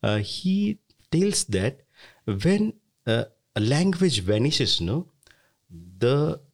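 A man's voice: medium pitch, sing-song, drawn-out words, vocal fry. A man from India with an Indian accent, a guest in an interview, earnest and hesitant with many fillers. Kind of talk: conversational interview.

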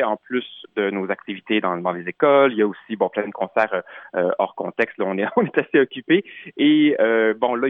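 A man's voice: medium pitch, no echo, narrow, tinny audio. A man with a Québécois accent giving a casual interview as a guest, often restarting his sentences. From Quebec, Canada. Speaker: singer in a vocal group, interview guest.

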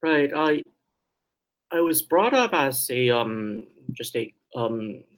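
Slow, hesitant man with slight accent. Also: mid-range voice, animated. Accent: slight Taiwanese Mandarin accent. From Taiwan. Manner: hesitant, many ums and restarts. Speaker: guest theologian. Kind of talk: interview answers.

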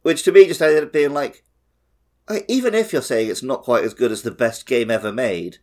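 A man co-hosting a gaming podcast, giving his opinions in an animated, casual way. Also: mid-range voice, fairly quick. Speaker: podcast co-host. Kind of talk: casual opinionated game chat.

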